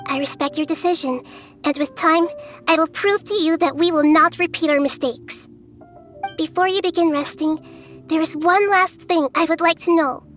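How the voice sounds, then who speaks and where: A woman voicing a small, gentle girl deity in a video game, speaking in a calm, measured, earnest and formal way, wise and composed. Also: very high voice, soft background music. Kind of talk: English video game voice acting.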